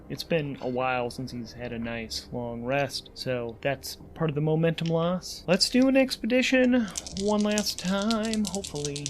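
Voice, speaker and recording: A man's voice: a little high, a little hiss or hum. Man musing aloud through a solo game. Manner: conversational, musing aloud to himself. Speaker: solo tabletop RPG player and podcast host. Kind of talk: thinking-aloud game narration.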